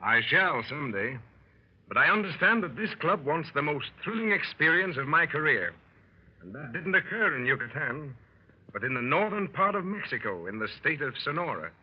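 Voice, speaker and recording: An actor playing a plucky gentleman adventurer, telling his exploits wryly in 1930s radio style. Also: a little high, normal speed, slightly rough. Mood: wry storytelling. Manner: wry, animated storyteller. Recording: muffled 1930s radio broadcast.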